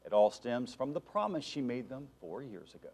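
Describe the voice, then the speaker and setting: A man's voice: medium pitch, very animated, moderate pace, a little mellow. A man with an American accent presenting and chatting as a TV news anchor. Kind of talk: studio presenting and chat.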